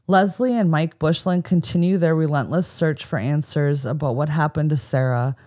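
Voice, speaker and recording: A woman's voice: low-pitched, dark tone. An American woman co-hosting a podcast, telling a true crime story from a script with casual asides. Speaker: podcast co-host.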